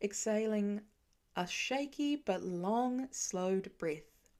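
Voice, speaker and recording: A woman narrating a fan story aloud in an expressive storytelling manner. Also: mid-range voice, drawn-out words. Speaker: podfic reader. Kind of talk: audiobook fan reading.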